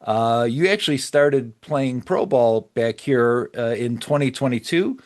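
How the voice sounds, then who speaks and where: A man with a medium-pitched voice hosts a sports podcast. He talks casually, introducing his guest and putting questions as an interviewer. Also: unhurried, a little mellow.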